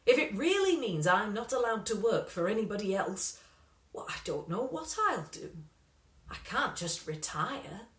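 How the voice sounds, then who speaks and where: A woman voicing a glum, earnest working father in a storybook, with a slow, plaintive delivery. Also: mid-range voice, sing-song, a bit bright, reverberant.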